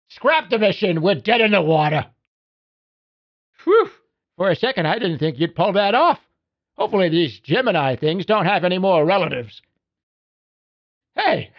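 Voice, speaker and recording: A man's voice: high voice, lo-fi sound, exaggerated voice. A man playing a cocky, folksy video game mechanic who briefs a pilot with slangy wisecracks. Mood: dismayed, then relieved, pleased. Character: cocky, folksy spaceship mechanic who babies his mech suit. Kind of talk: video game character voicelines.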